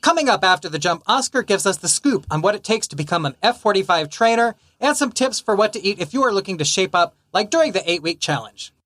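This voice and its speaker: A man's voice: sits high, big pitch swings, quick pace, few pauses, a bit bright, a little hiss or hum. A man hosting a conversational podcast, putting questions to a guest and introducing segments. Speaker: podcast host and interviewer.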